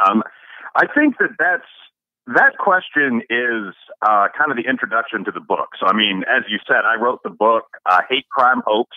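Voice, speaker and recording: A man's voice: medium pitch, no echo. Man guest, professor, on a dull lo-fi remote line with a dry sound, fluent and listing. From Chicago area, USA. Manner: fluent, listing cases one after another. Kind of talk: interview answers.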